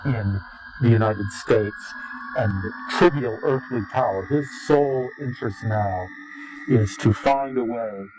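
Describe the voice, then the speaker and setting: A man giving interview testimony in a grave, deliberate, halting and portentous way. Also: mid-range voice, low-quality recording. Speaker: interviewee, claimed insider. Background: music.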